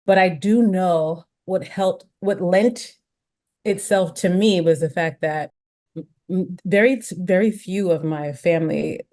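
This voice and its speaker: An American woman hosting a podcast, talking reflectively and thinking aloud with restarts and quick asides. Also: fairly low pitch, a little mellow. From United States. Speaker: podcast host. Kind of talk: conversational interview chat.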